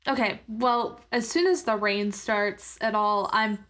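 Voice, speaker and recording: A woman's voice: mid-range voice, fairly even intonation, slow pace, fairly bright tone. A woman chatting casually as a player in an improvised tabletop game, with informal, tentative phrasing. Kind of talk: casual improvised role-play chat.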